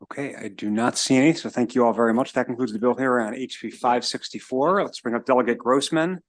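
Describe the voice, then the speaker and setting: A man chairing a legislative committee hearing, moving business along in a brisk, businesslike way. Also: mid-range voice. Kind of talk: procedural chairing.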